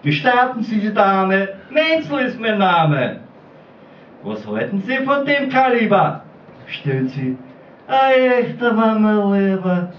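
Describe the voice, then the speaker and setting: A man speaks slowly with a lively, swinging pitch, halting on ums before each comic verse. The sound is distant and roomy, dulled like a camcorder in a small pub. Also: dull audio, slight background noise. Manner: halting, ums between bits, jokey. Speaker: slam poet. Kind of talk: comic dialect poem recital.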